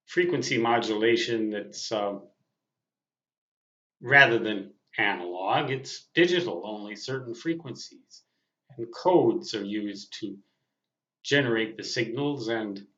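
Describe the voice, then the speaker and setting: A man in his sixties giving a casual, reflective monologue as a veteran radio hobbyist, speaking in a deliberate, halting way with emphatic phrases. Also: medium pitch, a bit bright. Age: 60s. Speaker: veteran amateur radio operator. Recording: muffled audio in a roomy space.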